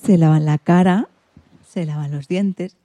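A woman's voice: on the low side, unhurried, noisy background. A woman from presenting and narrating a scripted radio story for children.

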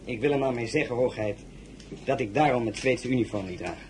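Actor as a formal, mid-pitched aristocratic officer. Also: male voice, fairly quick, slight background noise, close to an everyday voice. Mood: slightly aggrieved. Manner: earnest, formal, reporting. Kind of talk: radio drama acting.

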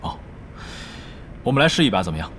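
A man acting a serious young esports team captain in an audio drama, speaking in a measured, earnest, formal way. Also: mid-range voice, sing-song, heavy background noise, natural voice. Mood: polite, earnest.

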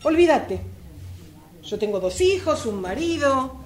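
A woman acting a high-pitched, reproachful Argentine sister. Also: sing-song, a little slow. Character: resentful married sister, mother of two, reproachful. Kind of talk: amateur radio drama acting.

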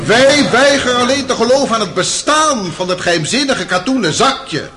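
An actor playing a stern, scornful Russian public prosecutor in a radio play, formal and insistent. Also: male voice, very high-pitched, animated, moderate pace, pushed and bright, heavily acted. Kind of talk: radio play courtroom acting.

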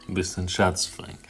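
A man playing a drunk, lonely bar patron in a radio drama, sentimental and drawling. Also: close to an everyday voice.

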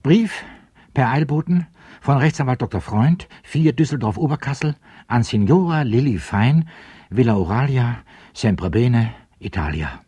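An actor playing an officious clerk who announces addresses and message headers in a clipped, bureaucratic way in a radio play. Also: male voice, mid-range voice, animated, unhurried, dark tone, slightly rough, dry recording.

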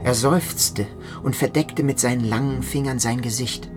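A man's voice: medium pitch, fairly even intonation, measured pace, lightly acted. An actor playing an awkward, fragile, overwrought man, narrating a literary radio play theatrically. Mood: nervous recounting. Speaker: first-person narrator and protagonist. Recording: music behind the voice.

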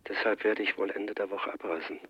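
A man's voice: lowish voice, steady tone, a little fast, breathy edge, lo-fi sound. An actor playing a sombre, resigned father in a German radio crime drama. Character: Mr. Greenleaf, sombre, resigned father of missing son.

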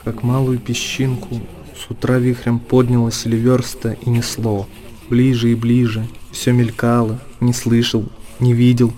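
A man performing as a solemn storyteller, narrating a literary radio drama in measured short phrases. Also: mid-range voice, completely flat delivery, mellow, natural voice. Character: solemn storyteller of a monastery tale.

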